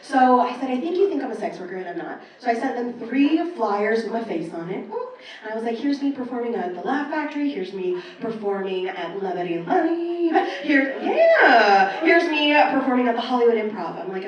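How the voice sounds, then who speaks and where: A roomy, echoing live recording of a woman comedian with a fairly high voice. She tells stories in a brash, casual way and acts out the conversations as she goes, speaking from a club stage. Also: a little fast, recorded from a distance, dull audio. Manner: animated storytelling, acting out quoted exchanges. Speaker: stand-up comedian. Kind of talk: stand-up comedy set.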